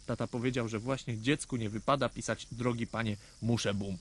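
Man playing a naive schoolboy storyteller, medium pitch. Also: steady tone, fairly quick, fairly bright tone, a little hiss or hum, natural voice. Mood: earnest. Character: little boy narrating his childhood mishaps, naive and earnest. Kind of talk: scripted first-person storytelling in a radio play.

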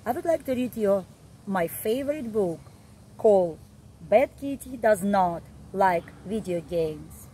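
A middle-aged woman reading a picture book aloud to young children in an expressive, deliberate way, recorded outdoors. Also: medium pitch, slight background noise, poor audio. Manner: expressive, deliberate read-aloud delivery with simple emphasized phrases. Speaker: early childhood storyteller. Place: outdoor backyard, seated on a garden seat.

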